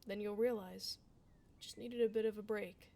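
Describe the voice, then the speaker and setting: A woman's voice: medium pitch, steady tone, normal speed, vocal fry, warm tone, close to an everyday voice. A woman playing a blunt, kind tomboy dullahan adventurer in a casual, reassuring fantasy ASMR roleplay. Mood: gruffly reassuring. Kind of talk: ASMR fantasy roleplay, close conversational acting.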